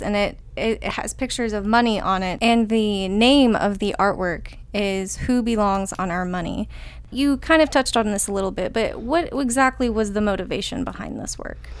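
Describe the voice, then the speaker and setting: A woman's voice: mid-range voice, moderate pace, no echo. A woman hosting a podcast, reading a polished introduction and asking an interview guest questions. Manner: polished, reads announcements smoothly. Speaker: podcast host.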